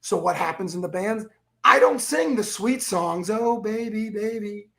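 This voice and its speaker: A man with a froggy, rough voice telling stories as an energetic interview guest, acting out the quotes. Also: high voice, a little slow. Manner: energetic storyteller who acts out quotes. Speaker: guest, keynote speaker and author. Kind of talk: animated interview talk.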